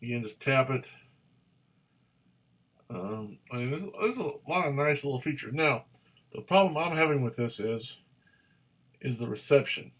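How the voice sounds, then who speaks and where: Man giving a halting, casual gadget review. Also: mid-range voice, animated, a bit bright, breathy edge, rough recording. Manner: halting, rambling, repeats himself. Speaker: product reviewer.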